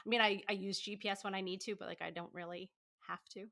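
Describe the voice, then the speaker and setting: A woman's voice: medium pitch, steady tone, creaky, pushed and bright. A woman in her thirties, a podcast guest talking casually, full of likes and fillers. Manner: chatty, lots of likes and fillers, quick laughs. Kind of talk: casual interview chat.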